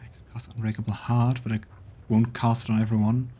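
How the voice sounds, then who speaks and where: A man's voice: mid-range voice, little pitch movement, brisk, warm tone, breathy edge. A man streaming a game, thinking aloud and muttering commands with plenty of hesitation. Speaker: let's play streamer. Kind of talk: casual gameplay commentary. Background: faint game music.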